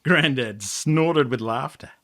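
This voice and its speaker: A man with an Australian accent reading a story aloud as an expressive narrator. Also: medium pitch, slow pace. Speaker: author reading own book.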